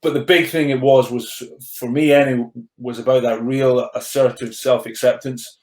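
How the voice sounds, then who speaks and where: A man's voice: medium pitch, steady tone, normal speed, a little mellow. A man in his thirties with a stammer he mostly keeps in check, telling his story earnestly and often repeating words as he restarts. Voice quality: stammer, mostly under control. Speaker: podcast guest, rugby player turned coach. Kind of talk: candid personal storytelling in conversation. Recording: echoey room.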